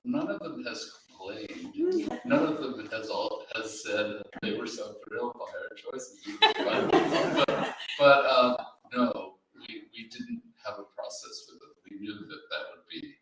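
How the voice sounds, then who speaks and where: A man speaking informally as an editor at a public literary event, in a hesitant, halting way with false starts. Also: medium pitch, wildly swooping pitch. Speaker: anthology editor and panel speaker. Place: reverberant library room, live event. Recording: very echoey room, distant mic, faint noise.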